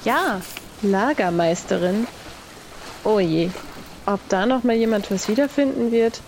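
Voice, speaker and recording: Woman playing a creaky, fretful pirate. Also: medium pitch, measured pace, darkish tone, voice pushed up, close to an everyday voice. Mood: resigned worry. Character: chaotic, self-doubting pirate storekeeper. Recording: faint background noise.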